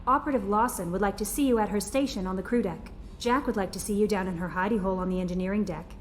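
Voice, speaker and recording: A woman's voice: medium pitch, quick pace, a little hiss or hum, close to an everyday voice. An actress playing a friendly, eager female crew assistant aboard a ship in a video game, polite and attentive. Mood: sympathetic, helpful. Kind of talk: video game character acting. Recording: game audio with faint ship ambience.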